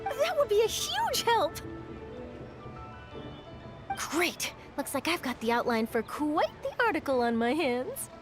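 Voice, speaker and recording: A woman's voice: very high voice, huge pitch swings, moderate pace, full character voice. A woman voicing a keen, inquisitive young reporter in a video game, bubbly and eager in her delivery. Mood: delighted. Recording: game music behind the voice.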